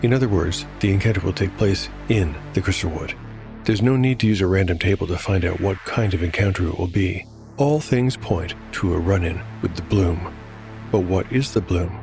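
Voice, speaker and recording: A man narrating a solo fantasy role-playing show as game master, in a measured, explanatory scripted style. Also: sits low, vocal fry. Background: music.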